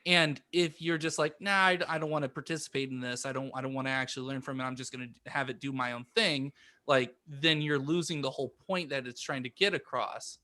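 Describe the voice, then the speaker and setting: A man on a podcast panel in casual discussion, halting and informal as he searches for words. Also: medium pitch, fairly even intonation, bright, forceful tone.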